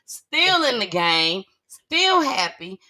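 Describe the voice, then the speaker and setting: A woman's voice: on the high side, very animated, takes it slowly, pushed and bright. A woman hosting a talk show in a casual, chatty style, repeating words for emphasis as she questions her guest. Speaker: talk show host, entrepreneur.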